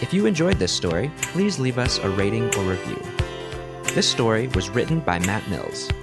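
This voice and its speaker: An announcer reads a short scripted outro with a lively, bouncing delivery. The voice sits at a middling pitch. A loud guitar music bed plays under the whole read. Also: moderate pace, gravelly.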